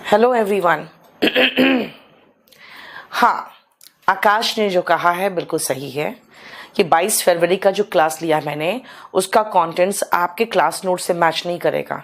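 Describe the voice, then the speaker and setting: Hoarse woman teacher, live online class, motherly, keeps clearing her throat. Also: fairly low pitch, a little slow. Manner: motherly, calls students "beta", coaxing them to hurry. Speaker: English teacher.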